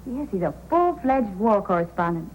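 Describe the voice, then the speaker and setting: An actress playing a devoted, affectionate young sweetheart in an old-time radio drama, earnest and husky. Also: female voice, mid-range voice, big pitch swings, normal speed, darkish tone, slight background noise, close to an everyday voice, poor audio. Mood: proud, happy.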